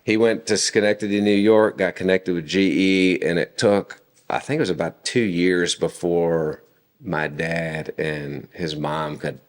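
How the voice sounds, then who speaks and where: A man in his forties, a podcast guest, telling family stories in a relaxed conversational way with frequent fillers. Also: deep voice, unhurried. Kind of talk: casual conversation.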